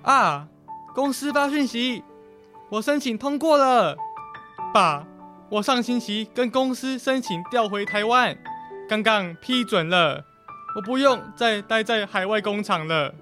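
A man's voice: sits high, lively intonation, a little slow, bright, forceful tone, soft background music, exaggerated voice. A man, acting a devoted father and dutiful son in a radio drama, earnest in delivery. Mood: pleased, earnest.

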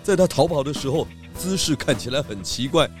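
A man voicing a calm, methodical pig detective who patiently leads with questions in a children's audio drama. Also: on the high side, over music, natural voice. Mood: methodical, probing. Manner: measured, patient, leading with questions.

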